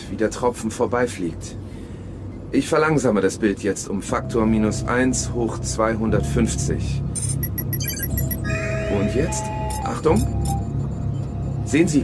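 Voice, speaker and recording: A man's voice: medium pitch, expressive, unhurried, long pauses, lightly acted. A man playing a dutiful, alert ship's officer in a radio drama, with military deference. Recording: loud music behind the voice.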